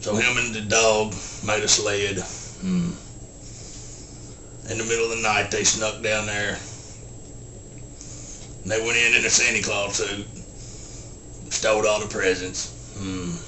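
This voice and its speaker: Halting rural Southern simpleton storyteller, forceful edge, monotone, grunting hums, film-parody style, faint background noise. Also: male voice, lowish voice, echoey room, low-quality recording. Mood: deadpan, plodding. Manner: halting, deadpan, grunts "hmm" between short sentences. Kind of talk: folksy storytelling, film character impression.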